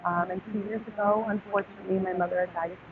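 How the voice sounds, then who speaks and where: A woman's voice: mid-range voice, fairly even intonation, very fast, a crowd in the background. A woman in her twenties from Texas, talking openly and reflectively in a street interview, with many hesitations. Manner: open, reflective, many ums. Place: outdoors near a park. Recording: phone-like audio with outdoor noise.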